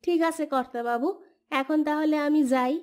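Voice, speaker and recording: A woman's voice: high voice, steady tone, normal speed, a little mellow, pitched above the performer's own voice, close to an everyday voice. A woman voicing a humble village maidservant in a cartoon, speaking respectfully and eager to please. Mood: deferential, earnest. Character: humble, deferential village maidservant, devoted mother. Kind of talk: Bengali cartoon voice acting.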